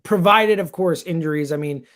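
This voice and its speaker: A man's voice: a little high, moderate pace, fairly bright tone. A man talks sports in a casual, slangy way, like a radio guest breaking down a team. He is blunt and opinionated, leaning hard on his points with plenty of emphasis. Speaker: sports radio producer and host, guest commentator. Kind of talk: casual sports talk analysis.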